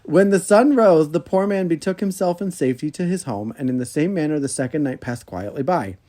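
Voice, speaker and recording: A man with a slightly high-set voice reads an old folk tale aloud as a podcast host. His narration is expressive and storybook-like, with a chatty, informal footing. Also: fairly quick. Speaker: podcast host reading fairy tales aloud.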